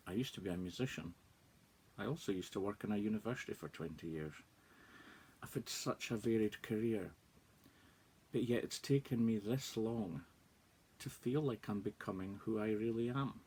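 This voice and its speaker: A man's voice: lowish voice, a little mellow, husky. A Scottish man in his forties, a life coach, speaking candidly and reflectively in a personal vlog. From northeast Scotland. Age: 40s.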